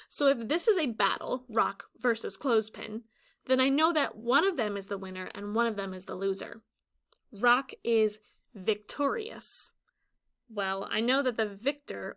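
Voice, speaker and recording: Lady teacher reading to kids, fairly high voice, explains stuff clearly as she goes. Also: fairly bright tone. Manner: explanatory, deliberate enunciation. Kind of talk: instructional children's read-aloud. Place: small room indoors, classroom or office decor.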